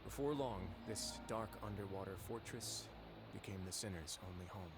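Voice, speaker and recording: An actor playing a calm, wry duke in a video game, speaking in a measured, composed way. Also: male voice, fairly low pitch, over loud music, close to an everyday voice. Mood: solemn. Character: calm, wry male duke ruling an underwater prison fortress. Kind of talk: scripted video game dialogue and storytelling.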